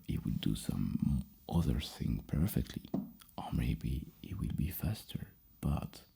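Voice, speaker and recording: Very low, creaky ASMR whisperer. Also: dark tone. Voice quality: breathy, husky, creaky whisper. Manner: hesitant, repeats and rephrases questions. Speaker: ASMR roleplayer playing a psychologist asking questionnaire questions. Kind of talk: soft-spoken whispered ASMR roleplay. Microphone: close microphone.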